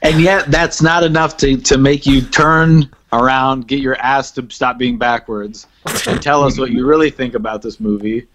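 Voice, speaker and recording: A man's voice: mid-range voice, steady tone, moderate pace, a bit bright, poor audio. A man with a mid-range voice talks as a podcast co-host in a casual, comedic way. He leans on his words emphatically and repeats phrases for effect. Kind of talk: casual comedic movie chat.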